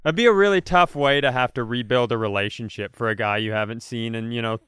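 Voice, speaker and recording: A man with a clear, smooth voice and a mid-range pitch hosts a casual comedy podcast. He riffs like an animated storyteller, slipping into imagined quotes and swearing freely. The sound is close and dry, with hardly any room in it. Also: fast, no echo. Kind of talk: casual comedic chat, improvised hypothetical riffs.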